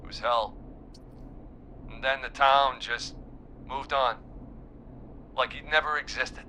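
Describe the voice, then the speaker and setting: An actor playing a wounded, guilt-ridden small-town man in a video game, weary and halting as he confesses over a call. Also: medium pitch, steady tone, sharp tone, a little hiss or hum. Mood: grieving, guilty. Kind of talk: dramatic video game voice acting.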